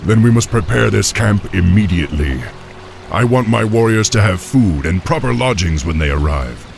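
An actor playing a proud young orc war chief in a fantasy game, gruff and commanding. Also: male voice, lowish voice, lively intonation, moderate pace, slight background noise. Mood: stern, concerned. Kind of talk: dramatic fantasy game voice acting.

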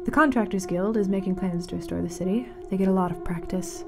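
A woman playing a grave, mournful radio announcer in a fiction podcast, speaking solemnly and dramatically with weighted pauses. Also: medium pitch, creaky, music in the background, close to an everyday voice. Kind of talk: scripted fiction-podcast narration as a community radio broadcast.

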